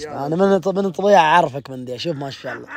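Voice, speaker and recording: A man's voice: animated, rapid-fire, gravelly. A man filming his friends on a phone at an outdoor desert camp, teasing them in playful, provoking banter. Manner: playful, provoking, bursts into high laughing shouts. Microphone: phone held by the speaker.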